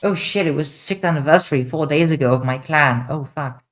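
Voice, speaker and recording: A woman's voice: low voice, a little fast. Blunt, profane young streamer woman, mixed accent. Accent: mixed international. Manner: blunt and profane, answering chat questions. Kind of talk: casual livestream Q&A.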